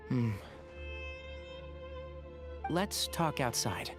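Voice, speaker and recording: A man voicing a calm, considerate young physician in a video game, with a gentle, careful, articulate delivery. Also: medium pitch, drawn-out words, music in the background. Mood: grave, regretful.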